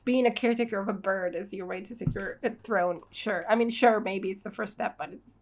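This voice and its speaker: A woman's voice: mid-range voice, fairly quick. A woman giving a casual read-along reaction as host, with informal, wry, sarcastic commentary.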